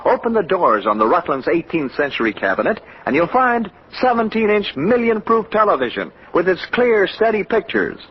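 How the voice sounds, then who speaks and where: An American man delivers a vintage radio sales pitch with persuasive polish. His voice is high and slightly rough, with lively swings, on a muffled old recording. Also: normal speed, hardly any pauses. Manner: polished, persuasive, addressing listeners directly. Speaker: radio commercial announcer. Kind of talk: mid-century American radio advertising read.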